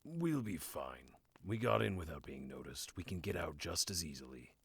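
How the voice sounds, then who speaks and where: An actor playing a brash, unflappable warrior cleric in a fantasy audio drama, speaking in a low-key, self-assured way. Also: male voice, big pitch swings, normal speed, vocal fry, breathy edge, exaggerated voice. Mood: calm confidence.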